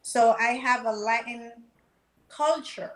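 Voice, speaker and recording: A woman in her forties from Panama, a guest chatting lively and laughing easily in an interview. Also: a little high, slow pace, reverberant. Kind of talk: casual interview chat.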